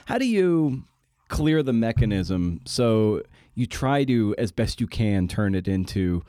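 A man with a lowish voice interviews someone in a casual podcast style. He assembles his questions piece by piece, thinking aloud. The recording is dry, without audible room. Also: big pitch swings, slow, mellow, no echo.

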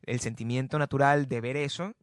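A man in a casual group chat, animated and jokey, repeating phrases for emphasis. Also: medium pitch, a little fast, no room sound, studio quality. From Venezuela. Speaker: musician and actor.

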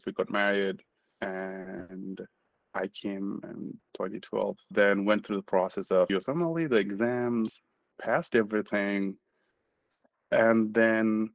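A man's voice: on the low side, vocal fry, no room sound, dull audio. A man in his forties from Nepal, a physician telling his story in a casual interview, earnest and self-deprecating. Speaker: guest physician.

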